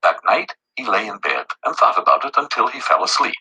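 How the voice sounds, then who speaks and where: A man narrating a children's story in an animated, scripted read-aloud style. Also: lowish voice, brisk, slight background noise, poor audio.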